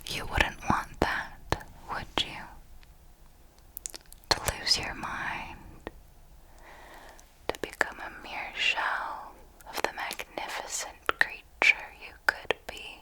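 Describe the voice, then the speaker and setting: Woman, whispered ASMR roleplay, unhurried deliberate delivery, seductive possessive vampire mentor, theatrical. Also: bright, forceful tone, rough recording. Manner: slow, deliberate, theatrical storytelling. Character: ancient female vampire mentor, seductive, possessive, darkly elegant.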